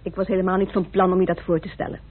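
An actress playing a polite, curious politician's wife in a radio play, with an expressive, questioning delivery. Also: female voice, fairly low pitch, racing, slightly rough. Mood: surprised, exasperated. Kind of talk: Dutch radio drama acting. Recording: tinny old radio recording, faint noise.

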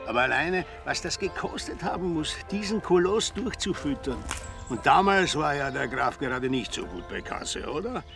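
Gravelly gossipy Austrian villager. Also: male voice, fairly high pitch, animated, normal speed, music in the background. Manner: dry, teasing, colloquial. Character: gossipy, wry village pub regular. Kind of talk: naturalistic radio drama acting.

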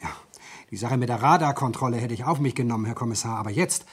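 A man's voice: mid-range voice, fast, breathy edge. An actor playing a polite, earnest male office employee who stands his ground in a 1970s German radio crime drama. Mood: uneasy, resolute. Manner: polite, plain-spoken, earnest.

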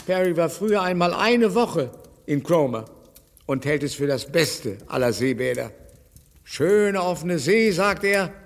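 An actor playing a fussy, anxious elderly gentleman who frets over health. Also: a little high, lively intonation, unhurried, long reverb tail. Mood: fretful. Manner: fretful, worrying over health. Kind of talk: radio play acting.

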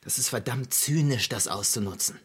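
A man's voice: medium pitch, normal speed, a bit bright, slightly rough. An actor playing a hesitant, exasperated priest at his first posting in a radio crime drama.

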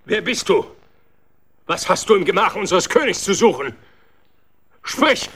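High, animated, pompous count. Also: male voice, unhurried, husky, exaggerated voice. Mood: stern, demanding. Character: pompous, excitable count at a king's court. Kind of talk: children's radio play acting.

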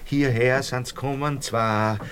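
A man's voice: medium pitch, steady tone, slow pace, noisy background, natural voice. An actor playing a flustered, blustering middle-aged Austrian father in a radio play, stammering and repeating himself in agitation. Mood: troubled.

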